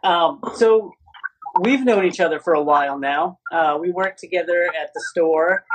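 A man's voice: a little high, normal speed, rough recording. A man interviewing a running coach on a video call, friendly and upbeat, laughing often.

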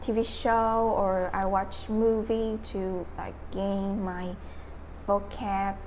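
A young woman from Vietnam speaking English with a Vietnamese accent, answering interview questions hesitantly, often pausing and restarting as she searches for words. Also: mid-range voice, level tone, background noise.

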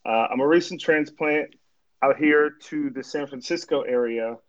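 A man's voice: fairly high pitch, moderate pace. A man from Virginia Beach hosting a podcast in casual chat, laid-back and teasing. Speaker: podcast host. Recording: dull lo-fi sound.

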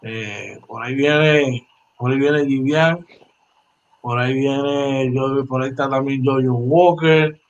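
A man's voice: medium pitch, fairly even intonation, slow pace, muffled recording. A man in his forties, a sports podcast co-host, chatting animatedly and repeating phrases. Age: 40s. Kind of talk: casual sports chat.

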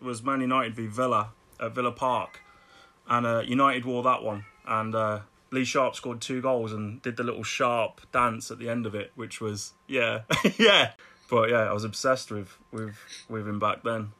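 A middle-aged man with a Birmingham accent, chatting casually as a podcast guest, laughing often and repeating words when excited. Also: medium pitch, normal speed. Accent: Birmingham English. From Birmingham, England. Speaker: guest artist.

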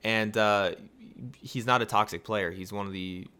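A tired young man with a raspy voice, hosting a casual sports podcast and rambling through excited rhetorical questions. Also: on the low side, moderate pace. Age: young adult. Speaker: podcast host.

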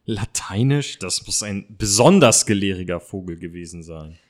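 A man's voice: deep voice, lively intonation, normal speed. A man playing an alert, earnest boy detective sidekick in an amateur German radio play. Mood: intrigued.

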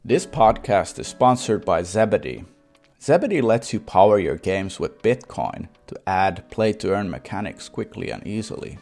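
A man's voice: on the low side, very animated, moderate pace, a little mellow, faint music behind. A man speaking as a podcast host, introducing and presenting in a prepared but personal style. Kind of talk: podcast intro, sponsor read and interview.

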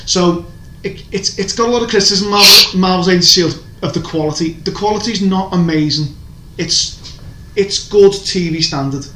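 A man's voice: high voice, moderate pace, few pauses, bright, forceful tone. A man chatting casually as a podcast co-host, rambling and opinionated, with lots of restarts. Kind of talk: casual chat reviewing TV shows. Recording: echoey room, faint background noise.

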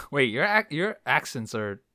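A man's voice: mid-range voice, very animated, a little slow, bright, forceful tone, no echo, studio quality. A man hosting a podcast in casual chat, teasing his guest and laughing easily. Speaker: podcast host.

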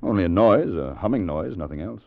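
An actor playing a skeptical gentleman drawn into adventure, with a theatrical manner, in a 1950s radio drama. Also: deep voice, animated, gravelly, full character voice. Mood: nervous, hesitant. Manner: halting, incredulous, theatrical. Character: skeptical, hesitant gentleman friend turned awed adventurer. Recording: muffled, noisy old radio broadcast.

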